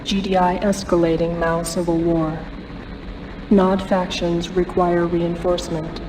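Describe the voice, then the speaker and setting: A woman's voice: on the low side, little pitch movement, measured pace, distant mic, noisy background, lightly acted. A woman playing an impersonal military announcer who delivers a terse, clipped, detached mission briefing for a video game. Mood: detached, official.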